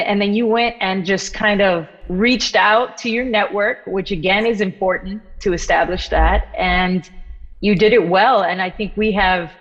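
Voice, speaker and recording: A young adult woman, a drummer and composer, chatting on a video call from home, laughing often and quoting her own thoughts. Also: mid-range voice. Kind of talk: casual chat on a video call. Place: room at home. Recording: echoey, dull video-call audio.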